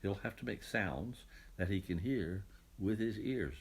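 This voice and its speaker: A man voicing a patient, fatherly, husky God. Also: fairly low pitch, a little slow. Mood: reassuring, explanatory. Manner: reassuring, explanatory, gently authoritative. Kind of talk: children's Bible storybook reading.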